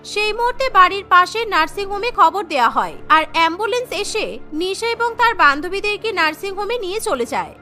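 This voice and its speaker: Bright, very high-pitched woman storyteller. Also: sing-song, fast, soft background music. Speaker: narrator. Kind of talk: scripted cartoon story narration.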